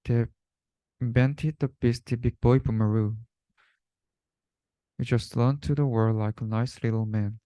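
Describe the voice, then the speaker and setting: A man's voice: medium pitch, steady tone, unhurried, long pauses, mellow, smooth, dry recording. A young man reading a rhyming children's storybook aloud. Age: young adult. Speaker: student reader.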